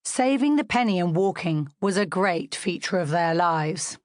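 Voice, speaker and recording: A woman's voice: fairly low pitch, expressive, unhurried, no echo, studio quality. A woman with a British accent narrating a children's story from a script as an audiobook reader.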